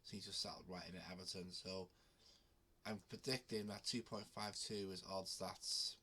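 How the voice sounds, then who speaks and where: A man's voice: low-pitched, steady tone, normal speed, bright, forceful tone. A man with a cold hosting his betting video, talking casually with frequent ums and restarts. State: has a cold. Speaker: betting channel host. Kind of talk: casual talk to camera.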